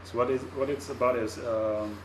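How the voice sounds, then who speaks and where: A man's voice: mid-range voice, steady tone, normal speed. A young student from Bhutan describes his program, hesitant and full of fillers. He is heard from a distance in an echoing room. A noticeable room hum runs behind him. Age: young adult. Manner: hesitant, many fillers and "you know". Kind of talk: casual chat. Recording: echoey room, far from the mic.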